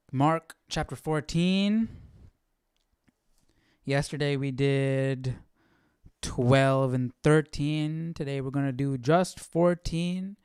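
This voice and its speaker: Casual man with a clear, dry, close sound. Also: medium pitch, darkish tone, dry recording. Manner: informal, thinking aloud. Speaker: Bible study livestream host. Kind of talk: casual live commentary on a reading.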